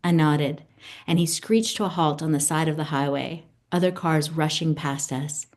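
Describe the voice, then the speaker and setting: Casting: woman poet in her 50s, Italian as first language, notably low voice, for readings and talk about her work. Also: normal speed, a little mellow. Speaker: poet, guest. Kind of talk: poetry reading and conversation about own poems.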